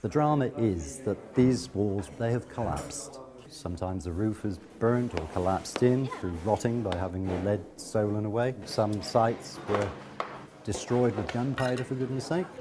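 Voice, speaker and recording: A man's voice: lowish voice, measured pace, a crowd in the background. A man tutoring a stonework course, explaining on site with emphatic, vivid phrasing.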